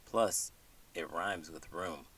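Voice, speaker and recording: Low-voiced man reading bedtime stories. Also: takes it slowly, a bit bright, slightly rough, far from the mic. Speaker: host and bedtime story reader. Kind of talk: slow, soothing picture-book read-aloud and scripted chat.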